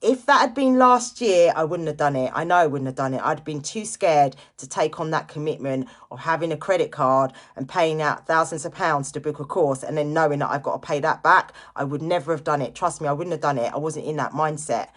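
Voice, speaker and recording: A woman's voice: sits low, fairly quick, few pauses. A woman in her late forties giving a casual motivational live chat, speaking informally and directly to listeners and repeating key phrases for emphasis. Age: late 40s. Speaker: live-stream host, direct seller giving motivational talk.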